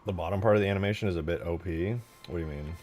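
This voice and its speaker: Male streamer, slightly deep voice, loose slangy chat, excitable, faint music underneath. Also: rapid-fire. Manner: informal, slangy, swearing, easily excited. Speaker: live streamer and game modder. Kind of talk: casual livestream chat while demonstrating game mod work.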